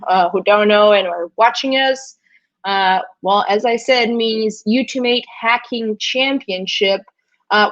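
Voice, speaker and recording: A woman from Brazil whose first language is Brazilian Portuguese, hosting a livestream in a chatty, halting way with frequent hesitations. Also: a little high, highs cut off. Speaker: host.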